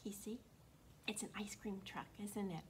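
A woman in her sixties with a slightly froggy throat, hosting an interactive read-aloud for young children in an animated, encouraging way. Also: mid-range voice, moderate pace, husky. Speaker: children's storytime host at a library. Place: small indoor room. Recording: constant room noise.

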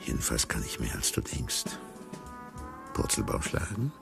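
An actor playing a brooding, rebellious circus tiger in a theatrical radio drama, halting and then bursting out. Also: male voice, very deep voice, wildly swooping pitch, vocal fry, loud music behind the voice, heavily acted. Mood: sullen defiance.

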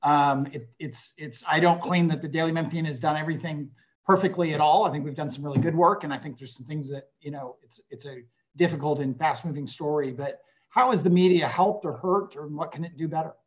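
A man's voice: a little high, a little fast. Male interviewer, slightly dull call audio. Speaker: interviewer, journalist. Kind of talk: conversational interviewing. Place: indoor room with bookshelves, video call.

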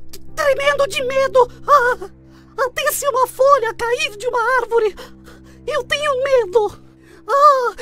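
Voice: very high-pitched, a bit bright. A performer voicing a tiny, timid male mouse in a children's cartoon fable, pleading earnestly in a quavering way.

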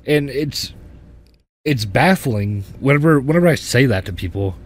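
A man in his thirties hosting a podcast, telling his life story in a rambling, halting way with many restarts. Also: mid-range voice, animated. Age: 30s. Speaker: podcast host. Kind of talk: casual personal storytelling.

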